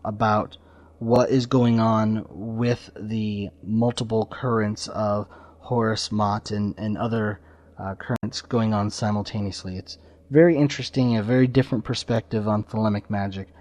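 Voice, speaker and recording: A man hosting a podcast interview in a casual, measured and slightly hesitant way. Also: fairly low pitch, fairly even intonation, darkish tone, no echo.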